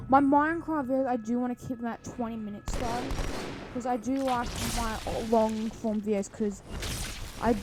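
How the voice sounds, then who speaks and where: A woman giving casual, unscripted gaming commentary, rambling hesitantly and trailing off. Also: on the high side. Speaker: gaming video creator. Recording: clearly noisy background.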